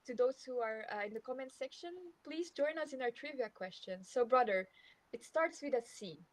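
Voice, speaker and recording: A woman hosts a casual live radio chat, her voice a little high. She is cheerful and polite, and she laughs easily between questions. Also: expressive, normal speed, dry recording, poor audio. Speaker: radio program host.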